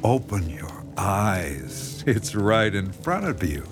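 An actor playing a sinister, obsessive horror writer with a coaxing, intense, condescending manner in a radio drama. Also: male voice, on the low side, big pitch swings, slow pace, full character voice. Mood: smug, persuasive. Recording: dramatic music behind the voice.

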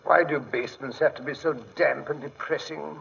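An actor playing a blustery, grumbling, stout English sidekick in 1940s radio style, with an English accent. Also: male voice, fairly high pitch, fairly even intonation, moderate pace, creaky, a bit bright. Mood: doubtful. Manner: blustery, querulous. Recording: muffled, reverberant 1940s radio broadcast.

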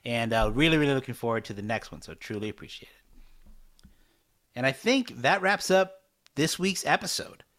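Mid-pitched man in casual podcast chat. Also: animated, moderate pace, pauses often, fairly bright tone. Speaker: podcast co-host. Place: recorded over video chat.

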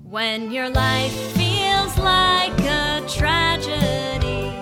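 An actress playing a loyal, devoted best friend who cheers someone up in half-sung musical theatre style. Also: female voice, high-pitched, lively intonation, extremely slow, loud music behind the voice, heavily acted. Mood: reassuring.

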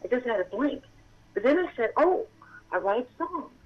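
A woman's voice: moderate pace, phone-quality sound. Childlike-voiced woman telling a story by phone. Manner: excitable, reenacts dialogue with big pitch jumps. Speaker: guest. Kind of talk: animated storytelling in interview.

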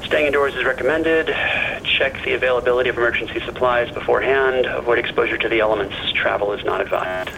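An actor playing a cautious, dry-humored friend who worries, speaking hesitantly in a naturalistic audio drama over a radio call. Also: male voice, mid-range voice, fast, gravelly, pushed and bright, a little hiss or hum, poor audio. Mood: flat reporting. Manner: hesitant, fretful, wry.

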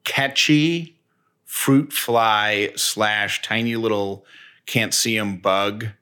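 A man's voice: fairly low pitch, extremely slow, bright, forceful tone, clean tone. An American man in his thirties chatting casually as a co-host, emphatic, often repeating and restarting phrases. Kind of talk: casual personal chat.

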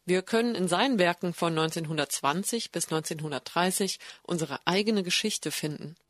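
A woman's voice: fairly low pitch, normal speed, no room sound, studio quality. Woman reading a dubbed voice-over. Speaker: voice-over translator.

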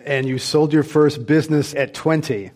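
A man hosts a panel discussion on stage before a live audience. He reads his introductions in a clear, measured way. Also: fairly high pitch, steady tone, darkish tone. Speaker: host.

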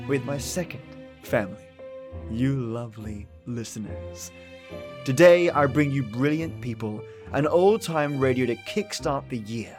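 Theatrical podcast host, man over music. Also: medium pitch. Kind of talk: chatty scripted podcast introduction. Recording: music behind the voice.

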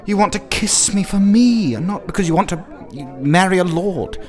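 A man's voice: high-pitched, normal speed, darkish tone, pitched above the performer's own voice, lightly acted. A man voicing an awkward, earnest young lord. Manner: self-pitying, earnest.

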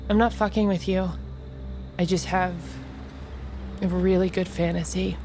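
An actress playing a breezy, quietly manipulative woman in a naturalistic audio drama, chatty, coy and insistent. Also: fairly low pitch, fairly even intonation, a little slow, soft background music, lightly acted.

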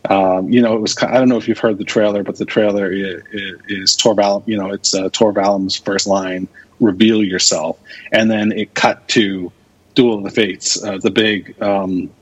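A man giving casual interview answers as a podcast guest, thinking aloud in halting fragments. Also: lowish voice, steady tone, slightly rough. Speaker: podcast guest, radio drama creator and producer. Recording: dull lo-fi audio.

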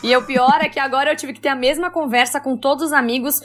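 A woman's voice: sits high, fast talker. Chatty woman guest on a video call. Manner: chatty, laughing, animated storytelling. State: hoarse. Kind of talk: casual chat.

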